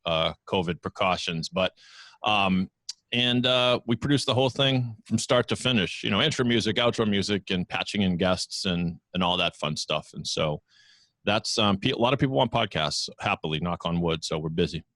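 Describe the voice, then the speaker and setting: A chatty, self-deprecating man speaks into a studio microphone from his podcast studio. His voice sits very low, and the sound is close and dry with no room around it. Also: normal speed, a bit bright, dry recording. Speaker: podcast network founder, guest. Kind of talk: casual interview over video call. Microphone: studio microphone with headphones.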